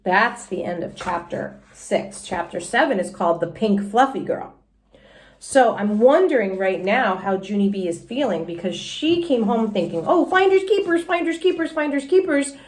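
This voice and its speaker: A woman teacher reading a children's storybook aloud in an expressive, explanatory way. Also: mid-range voice, normal speed.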